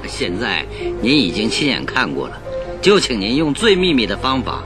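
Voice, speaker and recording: An actor playing a composed, politely teasing detective in a radio play. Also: male voice, medium pitch, big pitch swings, normal speed, music in the background, natural voice. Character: calm, wry male detective, middle-aged. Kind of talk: 1970s Chinese radio drama acting.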